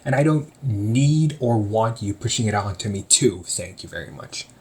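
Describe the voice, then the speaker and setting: A man's voice: on the low side, animated, moderate pace. A young man playing an easygoing shop owner talking to his sibling in a one-sided audio roleplay, teasing and laughing often. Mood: firm.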